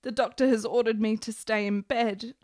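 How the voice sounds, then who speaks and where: A woman's voice: on the high side, steady tone, normal speed, a little mellow, natural voice. An actress playing a sickly, lovestruck woman in a period radio drama, melodramatic and pleading in florid speech. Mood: fervent, wistful. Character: sickly, lovestruck, desperate woman in 1870s Italy.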